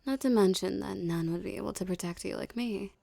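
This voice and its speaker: A woman playing a dominant, seductive mafia boss in an ASMR roleplay, with a soft, teasing, condescending drawl and menacing self-assurance. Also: medium pitch, a little fast, gravelly, dry recording. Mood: coaxing, self-assured.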